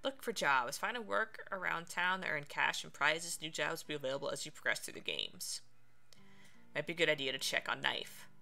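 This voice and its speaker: A woman's voice: sits low, expressive, a little fast, vocal fry, sharp tone, faint music behind. A woman streamer giving casual, playful game commentary, sometimes theatrical in delivery. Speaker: streamer, let's play host.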